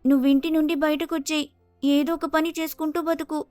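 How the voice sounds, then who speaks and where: A woman plays a blunt young sister in a family storybook drama, indignant and urging. The voice is very high with little melodic movement, recorded dry. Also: flat delivery, brisk, darkish tone, no echo, faint music behind, close to an everyday voice.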